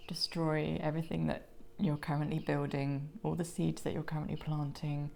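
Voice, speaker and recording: A woman with a croaky voice giving a gentle pick-a-card tarot reading, slipping into soft whispers now and then. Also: low voice, level tone, normal speed, slight background noise. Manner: gentle, soothing, drops into whispers.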